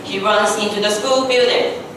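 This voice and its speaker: A woman's voice: medium pitch, steady tone, normal speed. A young woman reading out scripted narration for a staged readers' theatre story. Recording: very echoey hall, distant mic, noisy.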